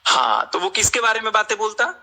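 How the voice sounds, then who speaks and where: A man with a high, piercingly bright voice argues on a recorded group call. He is insistent and confrontational, pressing his point by repeating questions. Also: sing-song, brisk. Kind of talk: heated argument in a group call.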